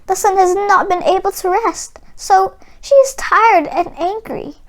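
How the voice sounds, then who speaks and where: A woman's voice: very high-pitched, unhurried, pitched above the performer's own voice. A woman voicing a tearful, guilt-stricken young sky weaver in a storybook, plaintive and pleading. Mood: tearful, guilty. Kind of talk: storybook read-aloud character voice.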